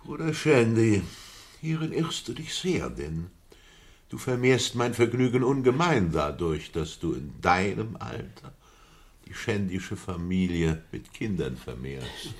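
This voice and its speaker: An actor playing a mild, earnest, forgiving old gentleman in a literary radio play. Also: on the low side, very animated, measured pace. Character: gentle, forgiving older brother Toby, military-minded old gentleman.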